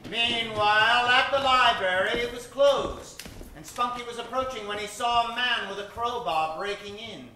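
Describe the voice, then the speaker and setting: A man's voice: very high-pitched, moderate pace, bright, forceful tone, a little hiss or hum. A man narrating an old-time radio comedy in a mock-dramatic style. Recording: roomy stage recording with music.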